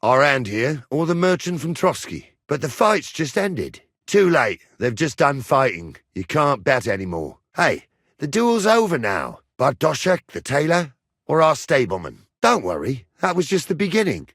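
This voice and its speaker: An actor playing a gruff, cocky medieval captain in a video game, with blunt, teasing, rustic speech. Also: male voice, fairly high pitch, very animated, moderate pace, fairly bright tone, no echo, studio quality, full character voice. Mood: brisk, bantering. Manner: colloquial, blunt, teasing, rustic turns of phrase. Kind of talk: video game character dialogue lines.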